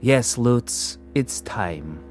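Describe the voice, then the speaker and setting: An actor playing a solemn, relentless ghostly caretaker passing judgment in a radio drama, measured, stern and formal. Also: male voice, medium pitch, animated, over loud music. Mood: cold, ominous.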